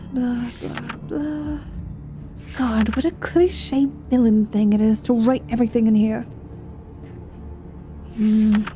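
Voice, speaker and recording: A woman acts out a reluctant hero in a fantasy ASMR roleplay, a wry, foul-mouthed female adventurer. She speaks very slowly and intimately, with a mellow tone and faint music underneath. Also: sits high.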